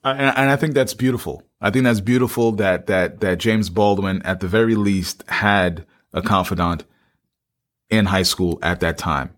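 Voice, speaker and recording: A man's voice: lowish voice, normal speed, fairly bright tone. A man co-hosting a podcast, speaking conversationally to listeners and repeating phrases for emphasis. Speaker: podcast co-host.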